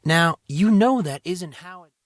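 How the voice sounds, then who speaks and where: A man's voice: high voice, expressive, unhurried, no echo, clean studio sound, voice pushed up. A man voicing an impatient, insistent young lord in an audiobook. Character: impatient young male lord, bossy with his maid.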